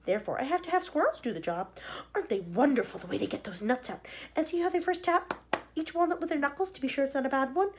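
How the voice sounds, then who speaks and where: Woman reading as an eccentric, excitable candy maker. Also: high voice, fast, noisy background, voice pushed up. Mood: animated.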